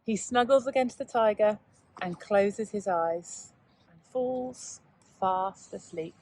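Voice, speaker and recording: A woman teacher reading aloud and chatting to young pupils in a measured, child-directed way. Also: mid-range voice, darkish tone, poor audio. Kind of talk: children's read-aloud and informal lesson talk.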